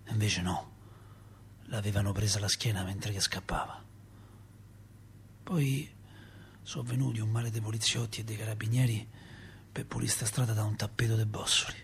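A man's voice: on the low side, steady tone, moderate pace, long pauses, a little hiss or hum, close to an everyday voice. A male actor playing a grieving working-class Roman father, telling his story in an emotive, colloquial radio monologue with a Roman accent. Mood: grieving, tender.

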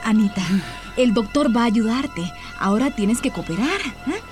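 Actress as high-voiced, encouraging woman helper. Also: lively intonation, normal speed, music in the background, lightly acted. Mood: soothing. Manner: soothing, encouraging. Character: resourceful, encouraging woman helping in an emergency. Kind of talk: radio drama acting.

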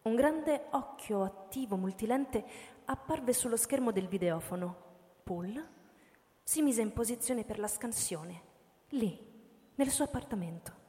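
A woman's voice: on the high side, animated, a little slow, mellow. A woman narrator reading a scripted dramatic story for radio. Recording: reverberant hall, music behind. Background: music.

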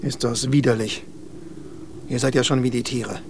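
A man's voice: medium pitch, steady tone, normal speed, a little hiss or hum, lightly acted. A man playing a suffering, disgusted sailor in a radio drama. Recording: faint background ambience.

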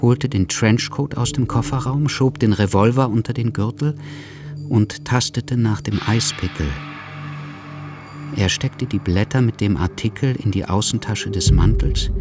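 A man narrating a radio drama in a measured, sober, scripted style. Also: fairly low pitch, natural voice. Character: sober male narrator of a political assassination drama. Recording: music behind the voice.

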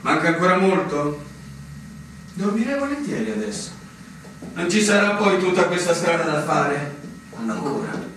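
A man's voice: a little high, big pitch swings, moderate pace, long pauses. Through heavy noise and a very echoey space comes a young army lieutenant. His voice swings expressively, formal and deferential, with an eager, uncertain air suited to stage-style radio drama. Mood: wistful, weary. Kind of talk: theatrical radio drama acting. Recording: distant, very echoey, noisy stage recording.

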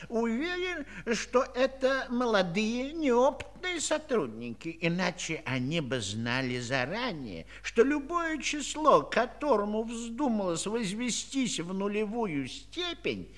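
A pompous, courteous old elder from a children's audio play. He speaks slowly and formally in a high, bright voice that swoops up and down. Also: sing-song, full character voice. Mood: lecturing. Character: pompous, courteous male elder of a land of numbers.

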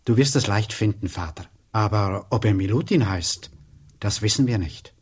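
A man playing a calm, respectful local who helpfully gives directions in a radio drama. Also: fairly low pitch, normal speed, husky. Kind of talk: German radio drama acting.

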